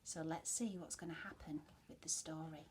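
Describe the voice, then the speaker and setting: A woman reading a storybook to young children as a teacher would, expressively, with hushed, chatty asides to her listeners. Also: low voice, steady tone, normal speed, slight background noise.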